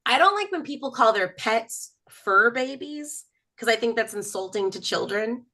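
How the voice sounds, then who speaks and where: A woman's voice: a little high, normal speed. A woman from Texas doing a self-mocking stand-up comedy set on a video call. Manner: chatty, self-mocking, lively storytelling.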